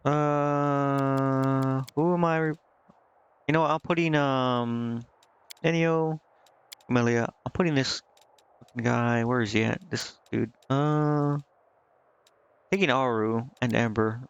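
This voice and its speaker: A man streaming a video game, casually thinking out loud and reading menu text to himself, with some offhand swearing. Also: medium pitch, steady tone, takes it slowly, pauses often, smooth, lo-fi sound. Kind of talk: casual live gameplay commentary, muttering and reading menu text aloud.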